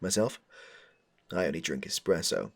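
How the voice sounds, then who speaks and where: A man's voice: expressive, normal speed, a bit bright, exaggerated voice. A man playing a smitten, rambling suitor with a shady air in a comedic audio roleplay, stammering and overdramatic as he speaks to the listener. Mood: smitten, earnest. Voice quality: low, gravelly, husky. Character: smitten, rambling, darkly suspicious man meeting his crush.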